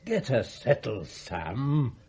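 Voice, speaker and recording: A man's voice: mid-range voice, big pitch swings, takes it slowly, a little mellow, husky, full character voice. An actor playing a domineering, blunt self-made tycoon and father in a radio drama, brusque and grumbling.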